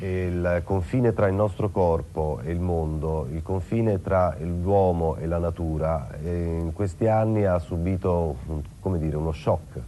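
Male commentator, low dark voice, reflective and hesitant interview talk. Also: a little slow, few pauses, a little hiss or hum. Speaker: commentator on theatre and the body.

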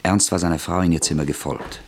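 A man with a husky voice reading scripted literary narration as the narrator of a radio drama. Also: sits low, steady tone, quick pace, heavy background noise.

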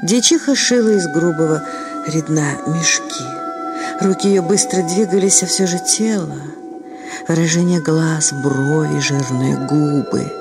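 Man, high voice, literary narrator, story reading, clear musical backing. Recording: music behind the voice.

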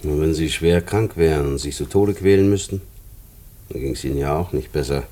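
An actor in a German radio play playing a calm, paternal prison inspector with a measured, probing manner. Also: male voice, extremely low pitch. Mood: concerned, probing. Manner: measured, probing, matter-of-fact.

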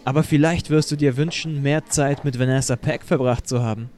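A man's voice: medium pitch, fairly even intonation, a little fast, a little mellow, a little hiss or hum, lightly acted. A man playing a cocky teenage schoolboy ringleader in an audio drama, urging and slangy as he talks. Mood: coaxing.

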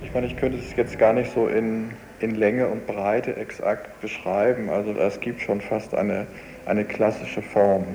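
A man's voice: on the low side, flat delivery, unhurried, dark tone. A man speaking casually and hesitantly, searching for his words as he muses in an interview. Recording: faintly noisy cassette radio recording.

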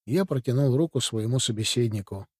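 A man's voice: medium pitch, no room sound. Man narrating an audiobook, dry and close. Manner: even, measured storytelling. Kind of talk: first-person audiobook narration.

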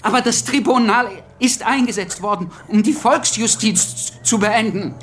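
An actor playing an agitated young revolutionary journalist in a radio drama, stammering and urgent. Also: male voice, sits high, normal speed, fairly bright tone, a little hiss or hum. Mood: anxious, arguing.

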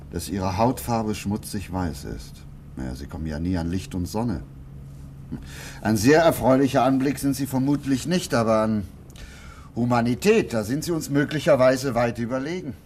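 An actor playing an earnest, thoughtful scientist in a German radio play, speaking in a deliberate way. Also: male voice, medium pitch, darkish tone, natural voice. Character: earnest male scientist doctor, resolute, protective, thoughtful. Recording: radio play with background noise.

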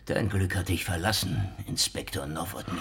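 An actor playing a sardonic, hard-drinking private detective in a noir radio drama, wordy and wry. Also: male voice, low voice, expressive, normal speed, creaky, a bit bright, a little hiss or hum, exaggerated voice. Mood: mocking. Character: sardonic hard-drinking Moscow private detective, world-weary male wisecracker. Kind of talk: noir radio drama acting with first-person narration.

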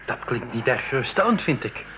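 A man's voice: medium pitch, very animated, fairly quick, sharp tone, slight background noise, rough recording. A man playing a nervous, doubtful young expedition member in a radio play, anxious and questioning. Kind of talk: 1980s school radio play acting.

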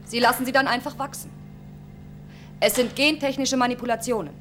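A woman's voice: a little high, steady tone, brisk, a bit bright, soft background music, natural voice. A woman playing a keen, earnest spaceship crew officer in a science-fiction radio drama. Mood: eager, impressed. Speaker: voice actor.

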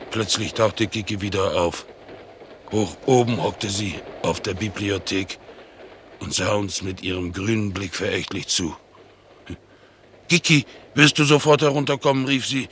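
A low-pitched, naive and excitable bulldog acted by a man in a radio play. He tells of his household expressively, mimicking the people he quotes. A vehicle rumbles clearly behind the voice. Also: background noise, natural voice. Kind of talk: German radio play acting.

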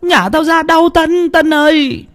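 A man's voice: very high-pitched, unhurried, a bit bright, pitched above the performer's own voice. A man voicing a frightened, starving young boy who shrieks in pain and stammers at his sister. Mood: panicked shrieking.

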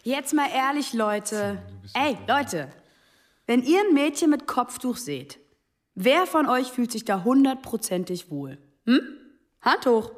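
Radio play: blunt student, high-voiced and challenging. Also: female voice, animated, slow. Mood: provoking. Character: outspoken, confrontational female high-school student. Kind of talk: radio drama acting.

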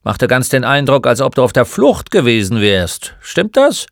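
A man playing a gruff, mocking old shopkeeper in a storybook, with a blunt, grumbling manner. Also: medium pitch, big pitch swings, normal speed, no room sound, clean studio sound, voice pushed up. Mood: gruff, curt. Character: gruff, mocking old male bookshop keeper. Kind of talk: storybook character voice.

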